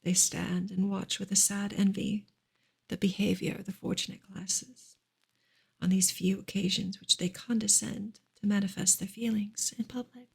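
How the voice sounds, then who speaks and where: A woman reads a novel aloud in a soft, hushed ASMR style, sometimes close to a whisper. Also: medium pitch, flat delivery, moderate pace, vocal fry, slightly rough. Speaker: reader on an ASMR channel. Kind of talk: soft ASMR audiobook reading.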